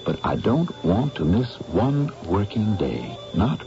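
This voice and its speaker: An earnest English painter. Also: male voice, lowish voice, very animated, takes it slowly, over music, exaggerated voice. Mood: firm. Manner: firm, earnest, formal. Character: earnest, serious English painter, adult man. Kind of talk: 1970s radio drama acting. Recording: dull old radio broadcast with faint hiss.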